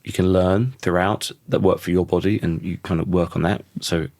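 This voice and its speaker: Casting: croaky man in his thirties, low voice, thoughtful and halting, dry close-miked sound. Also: steady tone, darkish tone, dry recording. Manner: thoughtful, restarts sentences often. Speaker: podcast guest. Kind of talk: reflective conversational interview.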